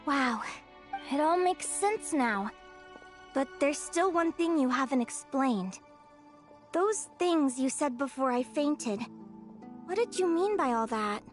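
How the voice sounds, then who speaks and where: A young changeling girl from a fantasy game, in an extremely high, girlish voice. She is sweet, earnest and perceptive, every bit the little sister. Music plays underneath. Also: animated, slow, long pauses. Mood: curious. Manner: earnest, gentle, girlish delivery. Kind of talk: video game voice acting. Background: background music.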